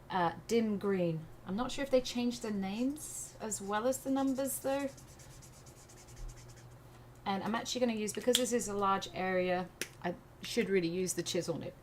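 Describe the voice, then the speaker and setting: A woman from England with a blended English and American accent, casually thinking aloud as she hosts a live art tutorial. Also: medium pitch. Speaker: art livestream host. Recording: noticeable background noise.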